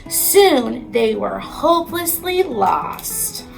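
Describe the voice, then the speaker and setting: An American woman, a teacher, reading a children's storybook aloud with expressive narration. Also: a little high, extremely slow, gravelly, faint music behind, low-quality recording.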